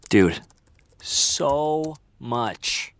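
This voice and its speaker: An actor playing a caring, laid-back male friend in a naturalistic audio drama, casual and gently firm. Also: medium pitch, animated, very slow, dry recording, exaggerated voice.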